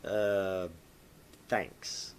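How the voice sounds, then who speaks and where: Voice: low voice, steady tone, extremely slow, a little hiss or hum, exaggerated voice. A gamer voicing an eager, awkward young student helper in a visual novel, often hesitant. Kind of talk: acted visual novel dialogue.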